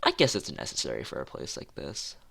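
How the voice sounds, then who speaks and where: A streamer reading a visual novel aloud in a halting way, stumbling and going back over phrases, with asides to chat. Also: very deep voice, wildly swooping pitch, creaky, fairly bright tone. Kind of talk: live read-aloud narration with chat asides. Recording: music playing behind the voice.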